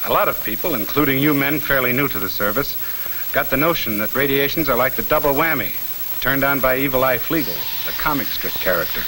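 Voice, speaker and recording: Faint hiss sits under a man's sharp, forceful voice. He narrates like an old military training film, blunt and folksy, speaking straight at the listener in punchy phrases. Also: mid-range voice, lively intonation, fairly quick, rough recording. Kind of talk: mid-century instructional film narration, folksy and slangy.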